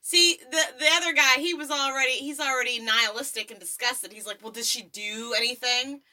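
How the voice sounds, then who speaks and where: A woman's voice: sits high, fairly even intonation, fairly quick, bright, forceful tone. A woman co-hosting a podcast, chatting casually and explaining the plot while watching a movie. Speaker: podcast co-host.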